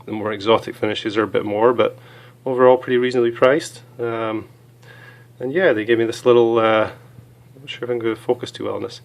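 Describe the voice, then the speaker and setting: A hoarse man with a cold, chatting casually as he reviews small gear items, with many fillers. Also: medium pitch, fairly even intonation, a little fast.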